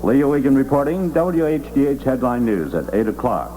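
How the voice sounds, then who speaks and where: A man's voice: mid-range voice, sing-song, moderate pace, dark tone, rough recording. A man working as a news anchor, reading headlines in 1960s radio news style on an old broadcast.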